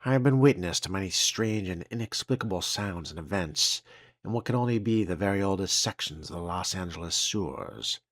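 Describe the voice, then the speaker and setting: A man playing a solemn, uneasy 1950s waterworks foreman, reading a written log in a grave, formal and measured manner. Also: deep voice, lively intonation, a bit bright, no room sound, studio quality, heavily acted. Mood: grave, dutiful. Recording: music behind the voice.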